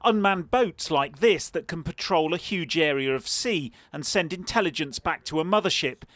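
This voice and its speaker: A man's voice: on the high side, expressive, normal speed, fairly bright tone, dry recording. A man narrating a scripted television news report.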